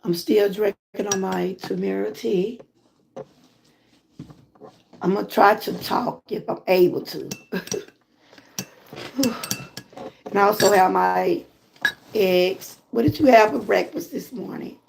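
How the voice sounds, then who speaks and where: A Southern woman from Georgia with a hoarse voice, chatting casually with viewers on a live stream from home. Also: medium pitch, slow, long pauses, background noise. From Georgia, USA. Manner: relaxed, chatty, greets viewers often. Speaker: lifestyle vlogger and channel host.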